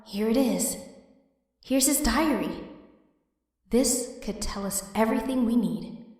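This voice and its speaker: A woman's voice: on the high side, slow pace, a little mellow. A woman as a determined mare in cavernous echo. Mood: eager, determined. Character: determined, inquisitive adult mare hunting an enemy's secrets. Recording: echoing hall, distant.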